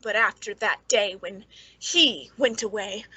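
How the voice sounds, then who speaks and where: A woman's voice: medium pitch, a little slow, bright, forceful tone, husky, dry recording, heavily acted, poor audio. An actress playing a nervous, secretly bitter woman with a hesitant, pleading manner in an old-time radio suspense drama. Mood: brooding narration.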